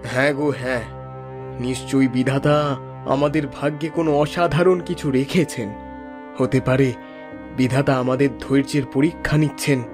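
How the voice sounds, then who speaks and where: A man's voice: mid-range voice, steady tone, normal speed, music in the background, natural voice. An actor playing a poor, hopeful, kindly fisherman in a cartoon fairy tale, speaking earnestly and with feeling. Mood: consoling. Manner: earnest, consoling, emotive.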